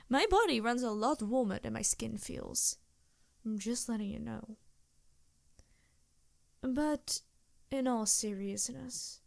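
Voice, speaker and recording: Woman acting a slow, sultry succubus demon. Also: fairly high pitch, vocal fry, a little mellow, dry recording, clean studio sound. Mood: seductive, teasing. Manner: languid, flirtatious, theatrical, sighing. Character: vain, seductive succubus demon, haughty and teasing. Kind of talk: ASMR roleplay, improvised character acting.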